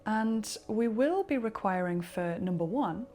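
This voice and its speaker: A woman leading a guided relaxation, speaking slowly and softly, sometimes dropping into a whisper. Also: medium pitch, lively intonation, faint music behind. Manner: slow, soft-spoken, partly whispered. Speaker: meditation guide.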